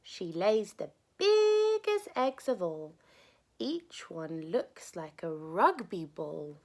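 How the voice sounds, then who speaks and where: A woman voicing a crafty female goose with a hissing, drawn-out delivery. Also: fairly low pitch, sing-song, exaggerated voice. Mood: sly.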